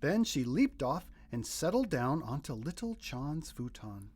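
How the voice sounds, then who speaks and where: A man reading a children's picture book aloud as narrator, in expressive scripted storytelling. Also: a little high, measured pace, slightly rough.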